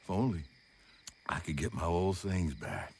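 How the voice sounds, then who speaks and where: A bitter old Southern gentleman fallen into ruin, lamenting in a low, drawn-out drawl. His delivery is maudlin and self-pitying, swinging widely in pitch, as in a dramatic game cutscene. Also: animated, slight background noise. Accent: American Southern. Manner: slow, maudlin, rambling lament. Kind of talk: video game cutscene acting.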